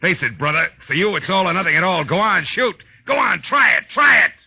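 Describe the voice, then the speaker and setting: A man's voice: sits high, very animated, fairly quick, gravelly, pushed and bright, breathy edge, full character voice. An actor playing a tough, wisecracking private detective narrating his case in a 1940s radio drama, with a wry, slangy delivery. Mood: taunting, tense. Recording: muffled 1940s radio broadcast with music.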